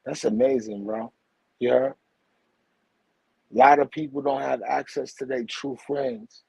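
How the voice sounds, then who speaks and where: Brooklyn-raised man in his forties, slow husky gravelly voice, dry close sound, rambling slangy guest. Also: medium pitch, steady tone, a little mellow, no room sound, poor audio. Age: 40s. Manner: rambling, slangy, repeats "you heard?" and "for real". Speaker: guest, teacher and author. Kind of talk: casual spiritual conversation.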